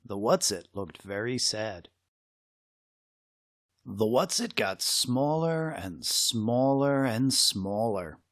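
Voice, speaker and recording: A man reading a children's story aloud in an expressive, dramatic way with suspenseful pauses. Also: mid-range voice, no room sound, clean studio sound. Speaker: storybook reader.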